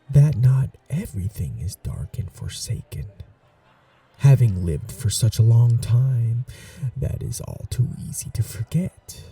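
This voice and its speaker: A man voicing an ancient, cunning male vampire lord in a whispered ASMR roleplay, courtly and seductive, with a husky, half-whispered voice. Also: medium pitch, warm tone, far from the mic, heavily acted. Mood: charming, courtly. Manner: courtly, slow and seductive, turning menacing.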